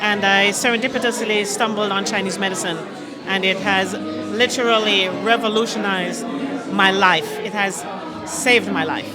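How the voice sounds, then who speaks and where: A woman's voice: mid-range voice, steady tone, moderate pace, loud music behind the voice. A young adult woman from Jamaica with a Jamaican accent, a doctor chatting in an interview at a party and speaking with conviction. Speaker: guest, Chinese medicine doctor. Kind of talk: event interview chat. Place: busy indoor party. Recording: crowd noise of a busy party. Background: crowd chatter.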